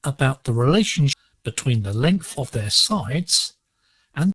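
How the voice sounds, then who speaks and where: Male radio teacher, slow pace, lively intonation, slightly husky sound, scripted and didactic, dry acoustic. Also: medium pitch, no echo. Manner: measured, didactic. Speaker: educational radio presenter. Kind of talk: scripted lesson narration. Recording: digital shortwave radio broadcast, slightly rough.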